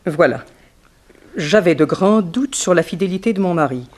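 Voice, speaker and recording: A woman's voice: on the low side, animated, normal speed, a little mellow. A woman playing a dignified, wronged upper-class wife in a 1960s French radio drama, speaking in a formal, precise, genteel way. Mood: confiding, dignified.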